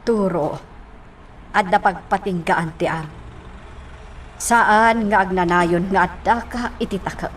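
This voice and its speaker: A woman playing a motherly older woman in a radio drama, cautioning and moralizing in a measured way. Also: lowish voice, a little hiss or hum. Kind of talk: Ilocano radio drama acting.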